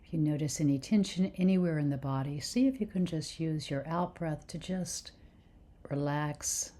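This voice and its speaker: A woman leading a guided meditation with soothing, gentle instruction in unhurried phrases. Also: deep voice.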